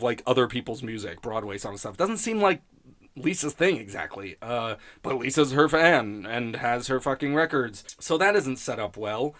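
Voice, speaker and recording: A man's voice: mid-range voice, a bit bright, dry recording. A man giving a casual, rambling spoken review in a blunt, sweary, grumbling manner. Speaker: host of a TV criticism show.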